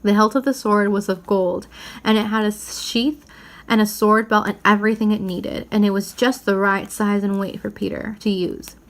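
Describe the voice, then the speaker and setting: A woman reading a children's book aloud as narrator, in an expressive storytelling style. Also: medium pitch, moderate pace. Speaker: story reader.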